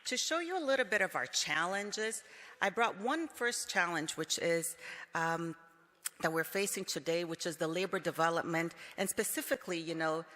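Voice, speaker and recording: A company executive, a man who grew up in Brazil, speaks at a conference in a measured, explanatory way, often pausing on "um." His voice is bright and a little higher than most men's. The hall around him leaves a long reverb on every word. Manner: measured, explanatory, frequent um fillers. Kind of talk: conference presentation.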